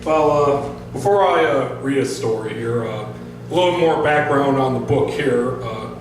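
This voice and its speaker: An American man introduces and reads his work to a small audience in an informal, halting way. His mid-pitched voice comes from off in a very reverberant venue. He sounds casual and self-deprecating. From northern Michigan, United States. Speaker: author at a public reading. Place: bar-like event venue, recorded from across the room. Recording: echoey venue, distant mic, music behind.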